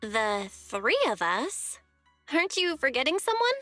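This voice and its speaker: An actress playing a spirited, inquisitive young junior disciple in a video game, pert and expressive as she talks. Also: high-pitched, unhurried, dry recording, soft background music, heavily acted. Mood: pert, keen. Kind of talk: English video game voice acting.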